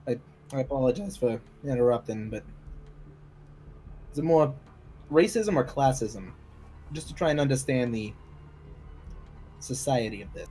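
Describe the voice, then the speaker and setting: Mid-pitched man playing a tabletop game. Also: animated, normal speed, pauses often, dark tone, faint music behind, low-quality recording. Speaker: roleplay player. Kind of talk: tabletop roleplay, casual in-character talk.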